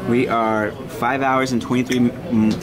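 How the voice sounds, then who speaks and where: A man's voice: medium pitch, little pitch movement, measured pace, crowd noise. A man in his thirties narrating a family road trip vlog to a handheld camera, chatty and upbeat, laughing often. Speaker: vlogger, father. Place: restaurant, car, hotel at night.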